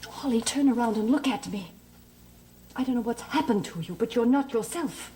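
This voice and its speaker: A woman's voice: fairly high pitch, lively intonation, normal speed, warm tone, a little hiss or hum, close to an everyday voice. An actress playing a fearful, accusing mother in a gothic soap opera, fretful and melodramatic. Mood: agitated, accusing.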